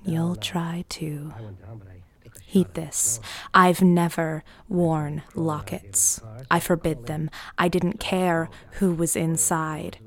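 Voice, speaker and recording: An actress plays a haunted woman confiding her secrets in an audio drama. Her voice is low and creaky, often dropping to a half-whisper. She speaks slowly and broodingly, with deliberate pauses. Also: little pitch movement. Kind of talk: audio drama monologue, intimate narration.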